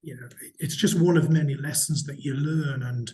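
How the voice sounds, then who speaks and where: A middle-aged man from Newcastle with a slight Geordie accent, answering interview questions in a measured, candid way with frequent restarts. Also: mid-range voice, steady tone, mellow. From Newcastle, England. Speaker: hotel company chief executive, interviewee.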